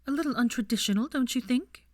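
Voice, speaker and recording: A woman's voice: on the high side, fast talker, no room sound, studio quality, pitched above the performer's own voice, close to an everyday voice. A woman voicing a haughty, disapproving aristocratic mother, curt and formal, in an audiobook story. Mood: cool disapproval. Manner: curt, formal, clipped disdain. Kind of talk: audiobook character voice.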